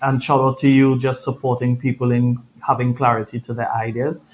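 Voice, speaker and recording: A man's voice: medium pitch, level tone, fairly quick, a little mellow, slight background noise. A man from Trinidad with a Trinidadian accent, a podcast guest telling his story in an earnest, reflective way. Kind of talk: conversational interview storytelling.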